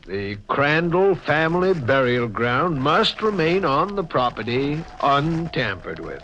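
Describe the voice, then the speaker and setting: Higher-pitched businesslike salesman. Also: very animated, slow pace, a bit bright, heavily acted. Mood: genial. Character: smooth-talking, businesslike, dismissive male real estate agent. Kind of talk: 1940s radio acting. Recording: noisy, dull old radio recording.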